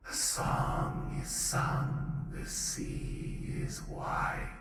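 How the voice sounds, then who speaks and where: A man voicing a giant male serpent that whispers a slow, eerie song in a tabletop game. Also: heavy reverb, long reverb tail, recorded from a distance, music in the background.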